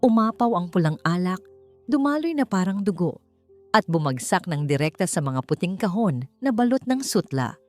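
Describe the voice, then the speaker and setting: Lower-voiced woman narrating dramatic fiction. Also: sing-song, moderate pace. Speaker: story narrator. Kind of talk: dramatic scripted storytelling. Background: background music.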